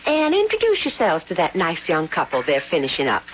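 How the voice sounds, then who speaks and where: An actress playing a brisk, friendly woman innkeeper, hospitable and matter-of-fact in an old radio drama. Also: fairly low pitch, wildly swooping pitch, slight background noise. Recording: dull lo-fi radio recording.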